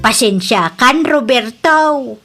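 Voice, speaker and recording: A woman's voice: a little high, expressive, slow pace, fairly bright tone, soft background music, full character voice. An actress with an Ilocano Filipino accent playing a defensive, lovestruck middle-aged mother in an audio drama. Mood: pleading, flustered. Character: defensive lovestruck mother duped by young boyfriend.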